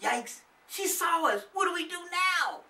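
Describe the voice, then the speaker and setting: A woman's voice: very high voice, animated, measured pace, sharp tone, voice pushed up, exaggerated voice. A woman voicing an alarmed, protective older boy who exclaims urgently in a children's story, recorded roomily at a distance. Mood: panicked. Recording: roomy, distant microphone.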